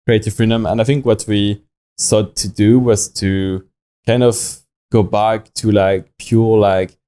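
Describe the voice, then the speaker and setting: Soft-toned Frenchman with many restarts. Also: on the low side, level tone, smooth. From France. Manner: halting, many restarts and fillers. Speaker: watch company founder. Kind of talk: podcast interview.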